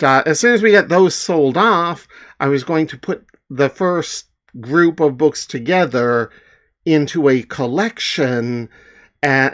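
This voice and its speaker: A man's voice: medium pitch, a bit bright, smooth. A man, a small comics publisher, chatting in a rambling, hesitant, self-deprecating way with many fillers. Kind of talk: casual spoken commentary.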